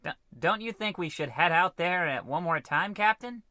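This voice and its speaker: A man's voice: sits high, animated, normal speed, pushed and bright, no echo, studio quality. An actor playing a timid, earnest, long-suffering spaceship crewman in an old-time radio comedy, hesitant and deferential. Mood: earnest, nervous.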